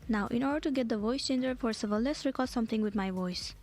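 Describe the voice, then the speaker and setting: Lady doing a how-to voiceover, kinda high voice, talks quick, step by step instructions. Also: a little mellow, faint music behind. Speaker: tutorial host. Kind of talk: scripted how-to tutorial narration.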